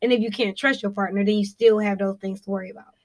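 A young woman co-hosting a casual podcast chat, animated and slangy, laughing often and checking in with her listener. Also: medium pitch, fast. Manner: animated, laughing, slangy, often says "you know what I'm saying". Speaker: podcast co-host.

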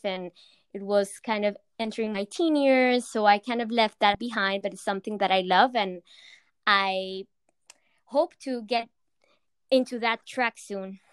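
Young Latin American woman founder, smooth voice. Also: mid-range voice, dry recording. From Argentina. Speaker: founder of a fitness company. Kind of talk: interview answers.

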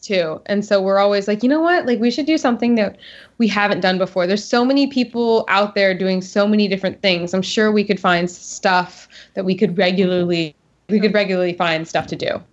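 A woman's voice: medium pitch, a little fast. Chatty woman from Texas, lo-fi. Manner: easygoing, chatty, hesitant fillers. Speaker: podcast guest. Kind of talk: casual chat. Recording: slightly dull lo-fi sound.

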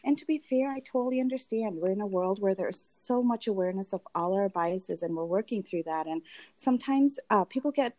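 A woman's voice: medium pitch, brisk, dark tone, no room sound. A young woman from Tanzania, a guest in an interview, talking through her own experiences in a friendly, reflective way with many fillers. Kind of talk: candid personal interview.

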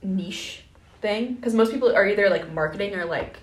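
A woman's voice: mid-range voice, normal speed. A young woman co-hosting a podcast in casual, slangy chat, often echoing and agreeing in short phrases. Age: young adult. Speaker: podcast co-host.

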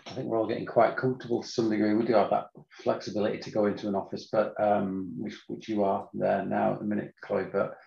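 A man co-hosting a podcast, joking and relaxed as he chats over a video call. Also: lowish voice, fast talker, vocal fry, few pauses, reverberant, lo-fi sound. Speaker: podcast co-host. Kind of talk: casual podcast conversation.

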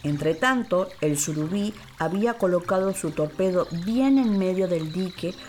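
A woman's voice: on the low side, animated, normal speed, darkish tone, a little hiss or hum. A woman giving scripted narration of a children's radio play as an actress. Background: music bed.

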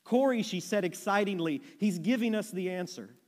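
A devout, gentle woman speaks earnestly and plainly, read aloud by a man. The voice is pitched fairly high and rings with a long hall reverb. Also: moderate pace, natural voice. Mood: eager. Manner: eager, earnest, matter-of-fact.

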